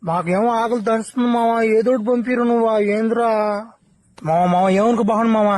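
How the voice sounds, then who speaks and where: Very high-pitched ranting cartoon man playing a loudmouth. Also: fairly quick. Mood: complaining. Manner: ranting, slangy, exclamatory. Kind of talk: Telugu comedy cartoon spoof dubbing.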